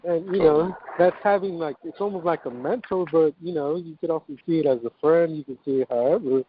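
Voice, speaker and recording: A man's voice: on the high side, brisk, slight background noise. A man chatting casually on a group call in a loose, informal way, acting out little example quotes as he goes. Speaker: business owner on a group call. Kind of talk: casual chat. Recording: thin, tinny phone line.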